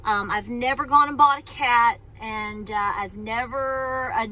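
Lady vlogging from her parked car into her phone, high voice, rambly and chatty. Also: measured pace, bright, forceful tone, rough recording. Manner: chatty, rambling, self-interrupting. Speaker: homestead vlogger. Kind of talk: casual talk to camera. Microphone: phone camera at arm's length.

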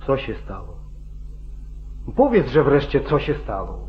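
A man's voice: mid-range voice, wildly swooping pitch, measured pace. A man playing a wary, weary older friend in an old radio drama, giving blunt warnings with stern urgency. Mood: impatient. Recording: dull, band-limited 1940s radio recording.

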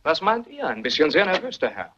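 Cocky, swooping needling brother. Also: male voice, fairly high pitch, huge pitch swings, a little fast, a bit bright, a little hiss or hum. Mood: sceptical, mocking. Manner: sarcastic, provoking. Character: Cedric, cocky needling brother interrogating his relatives. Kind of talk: German radio mystery play acting. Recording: muffled, dull radio play recording.

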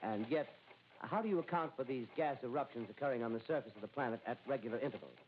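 A man's voice: mid-range voice, lively intonation, close to an everyday voice. An actor playing an eager field reporter in a 1930s radio drama, stammering and hurrying as he describes the scene. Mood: reporting. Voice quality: hurried, stammering on-the-spot reporting. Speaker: radio commentator. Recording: tinny old radio broadcast with faint hiss.

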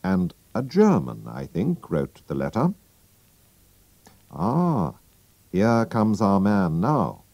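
A sharp, calm detective , as a man reads him aloud speaks in a brisk, sure-of-himself way. The voice sits clearly higher than the reader's own and moves up and down a great deal. It is a storybook reading. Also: no echo, full character voice. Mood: assured.

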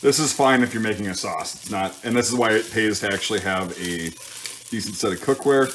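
A man's voice: lowish voice, brisk, a bit bright. A man giving casual, informal cooking commentary in a home kitchen, thinking aloud and correcting himself as he works. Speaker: home cook hosting a cooking show. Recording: clear frying sizzle and kitchen noise. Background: sizzling pan and stirring sounds.

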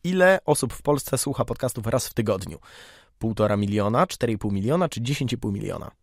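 A young man hosts a relaxed-format podcast interview. His voice sits at a medium pitch and is captured dry, without room sound, as he asks questions in casual speech. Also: fairly quick, no echo. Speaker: podcast host.

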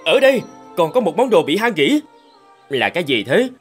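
A man playing an eager, plain-spoken relic digger in an animated fairy tale. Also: on the high side, normal speed, faint music behind. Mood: puzzled.

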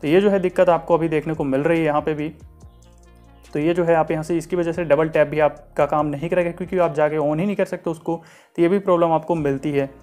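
Fast-talking Indian man, higher-pitched voice. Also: fairly even intonation, a little mellow. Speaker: tech reviewer. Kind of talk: phone software review narration. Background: light background music.

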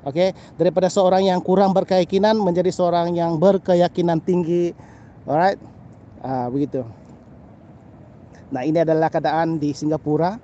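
Middle-aged male vlogger, Sabahan Malay accent, high-pitched voice, halting casual delivery, faint outdoor noise behind. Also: fairly even intonation, dark tone, lo-fi sound. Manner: halting, repeats phrases, frequent "ah" and "lah" tags. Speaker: birding vlogger. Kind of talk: casual vlog chat to camera. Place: outdoors on a grassy path by a canal.

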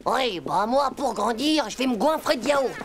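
A woman voicing a cocky, excitable little schoolboy in a French cartoon, boastful and slangy, with a gravelly voice. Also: fairly high pitch, moderate pace, crowd noise. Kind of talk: French animated series dialogue. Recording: music behind the voice.

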